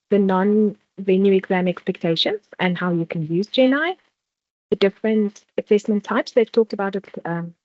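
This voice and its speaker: A woman's voice: mid-range voice, a little mellow, dry recording. South African woman presenting a study workshop. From South Africa, Free State. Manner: explanatory, restarts phrases, frequent ums. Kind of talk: online exam-preparation workshop talk. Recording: dull, dry lo-fi sound.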